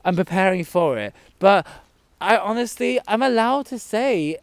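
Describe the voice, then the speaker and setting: A man's voice: high voice, expressive, unhurried, clear, resonant tone, dry recording. A man, sassy and dramatic, vlogging at an outdoor zoo into a phone held close. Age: 20s. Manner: sassy, dramatic, talks to animals and camera. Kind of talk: camp comedic vlog monologue. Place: outdoor zoo, among animal enclosures. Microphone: handheld phone held close.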